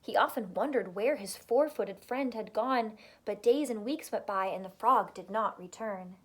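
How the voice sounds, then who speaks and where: A woman teacher reading a storybook aloud to children, expressive, with dramatic pauses and emphasis. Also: medium pitch.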